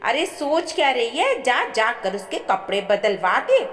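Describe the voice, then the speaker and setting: A woman's voice: fairly high pitch, very animated, measured pace, a bit bright, soft background music. A woman storyteller voicing a brusque, miserly middle-aged mother-in-law, scolding and impatient. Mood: scolding, dismissive. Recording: echoey with long reverb.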